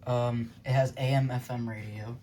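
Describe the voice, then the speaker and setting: A man's voice: mid-range voice, level tone, moderate pace, dark tone, slight background noise. A man giving a casual, unscripted product review as a hobbyist, thinking aloud in a loose, hesitant way. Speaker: weather radio hobbyist reviewing gear.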